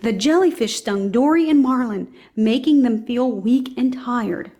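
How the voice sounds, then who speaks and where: A woman's voice: on the high side, unhurried, dark tone. A woman reading a children's storybook aloud as scripted narration.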